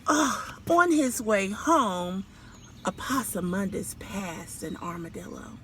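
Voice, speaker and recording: A woman's voice: mid-range voice, lively intonation, slow pace. A woman reading a children's story aloud outdoors, theatrical and speaking directly to young listeners. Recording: clear background noise, outdoors.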